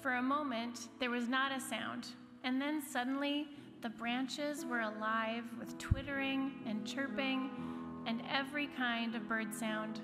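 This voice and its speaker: High-voiced woman reading a storybook slowly. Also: steady tone. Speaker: story reader. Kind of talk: storybook reading aloud, unhurried. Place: large church space. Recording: reverberant hall, music behind the voice. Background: soft instrumental music.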